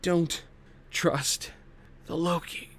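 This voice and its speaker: A man's voice: fairly high pitch, level tone, extremely slow, a bit bright, pitched above the performer's own voice. A man voicing a frantic male hologram doctor, a former officer on the run, speaking urgently in a sci-fi table read. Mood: frantic, pleading.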